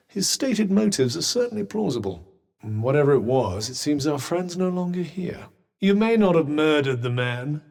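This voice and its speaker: A man with a British accent voicing a haughty, sardonic magical cuff in a video game, dry and pompous in manner. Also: fairly high pitch. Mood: dry, wry. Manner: dry, pompous, sarcastic, theatrically exasperated. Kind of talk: scripted game character lines.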